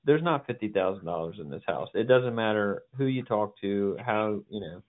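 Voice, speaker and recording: A man's voice: fairly low pitch, animated, moderate pace. A man, a property buyer or agent, talking bluntly and persuasively about figures on a phone call. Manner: blunt, persuasive, matter-of-fact about numbers. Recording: tinny phone line with faint noise.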